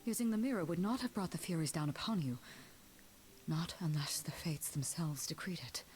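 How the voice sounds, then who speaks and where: Woman acting imperious ancient goddess, lowish voice. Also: animated, moderate pace, a little mellow, soft background music, natural voice. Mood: stern questioning. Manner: formal, cold, portentous. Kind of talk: dramatic video game voice acting.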